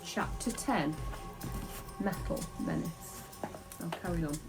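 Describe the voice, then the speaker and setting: A woman reading a children's book aloud in an expressive storytelling style. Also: lowish voice, slow, over music. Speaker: storybook reader.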